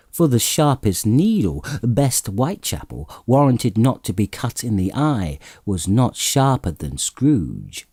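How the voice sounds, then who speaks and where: A man narrating a classic story as an audiobook, reading in an expressive, theatrical way with dramatic emphasis. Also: on the low side, no echo, clean studio sound.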